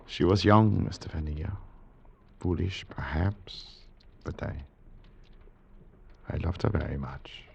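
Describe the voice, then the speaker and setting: An actor playing a grim, grieving husband with a formal, measured manner and a gravelly voice in 1940s radio drama. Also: male voice, low-pitched, dull audio, slight background noise, heavily acted. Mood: sorrowful.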